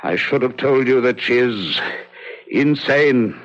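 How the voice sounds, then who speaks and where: Proud, menacing aristocrat, muffled recording. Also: male voice, mid-range voice, animated. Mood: ominous. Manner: formal, theatrical, sardonic, deliberate phrasing. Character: proud, bitter, menacing male aristocrat with trophies. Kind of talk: old radio drama acting. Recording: dull vintage radio recording.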